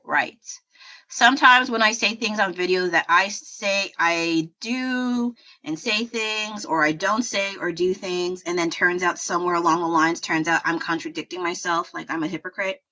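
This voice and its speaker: A woman with a low voice, chatting casually at her home desk while drawing on a livestream, rambling and poking fun at herself with frequent laughs. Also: sharp tone, low-quality recording. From United States. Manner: rambling, self-deprecating, laughs often. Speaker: livestreaming artist.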